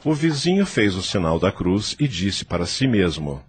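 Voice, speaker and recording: A man's voice: sits low, big pitch swings, normal speed, breathy edge. A man with a Brazilian accent reading scripted literary narration for an audio novel. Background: music.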